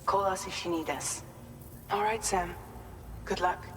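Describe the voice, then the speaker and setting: A woman's voice: lowish voice, unhurried, natural voice. An actress playing a composed, encouraging female operator giving scripted mission briefings in a video game. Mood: businesslike, concerned. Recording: echoing hall reverb, clear background noise.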